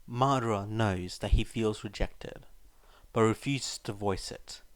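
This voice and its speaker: A male narrator reads a scripted story. His voice is on the low side and his pace is slow. The sound is dry and close, without room echo. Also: smooth. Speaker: narrator, fan fiction reader.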